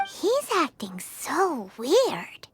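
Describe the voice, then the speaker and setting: A woman voicing a tiny childlike fairy companion in a video game, squeaky, blunt and cheeky. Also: wildly swooping pitch, very slow, faint music behind, full character voice. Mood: curious, puzzled. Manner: blunt, exclamatory, refers to self by name. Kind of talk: video game character dialogue.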